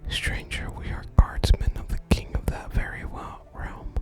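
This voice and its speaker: A gaming ASMR creator speaking in a soft breathy whisper, gently and deliberately reading game dialogue and adding casual commentary. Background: game music.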